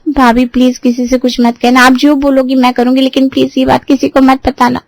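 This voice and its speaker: A woman's voice: high-pitched, level tone, a little fast, no echo, voice pushed up. A woman voicing a chatty young sister-in-law who wheedles and pleads nervously. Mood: nervous pleading.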